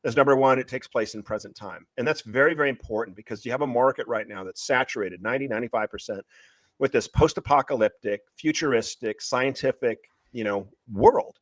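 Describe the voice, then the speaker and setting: A man speaking as an author guest in an interview, pitching his books in an emphatic, opinionated way and repeating phrases for stress. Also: fairly quick, dry recording. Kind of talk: passionate conversational pitch of a book series.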